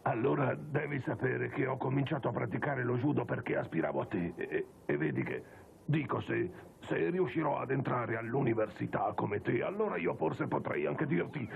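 An actor playing an earnest, shy, awkward young student judoka in a cartoon dub, with a gravelly voice. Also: male voice, medium pitch, animated, phone-quality sound, slight background noise. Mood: bashful, halting. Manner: earnest, halting. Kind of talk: Italian anime dub acting.

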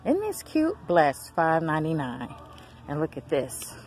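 A woman, filming a casual shopping vlog on a handheld phone, chatting excitedly to her viewers. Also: sits low, measured pace, soft background music. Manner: chatty, excited exclamations, addresses viewers as y'all and girl. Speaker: vlogger. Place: large discount store aisles, indoors. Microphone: handheld phone camera.

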